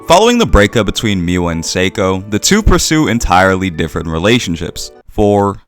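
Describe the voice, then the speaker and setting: A man's voice: sits low, big pitch swings, a little fast, faint music behind. A man hosting and narrating a scripted video essay.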